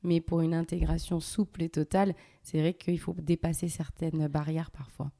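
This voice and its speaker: A woman in her thirties hosting a casual interview, asking questions in a gentle, measured and thoughtful way. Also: low voice, flat delivery, creaky, no echo, clean studio sound. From Algeria, raised in France. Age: 30s. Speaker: host interviewing her mother.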